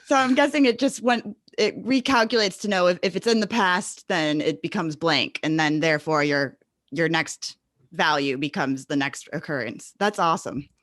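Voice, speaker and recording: A woman's voice: sits low, moderate pace, fairly bright tone, no room sound, clean studio sound. A woman hosting a casual podcast interview, encouraging and effusive toward her guest. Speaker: podcast host.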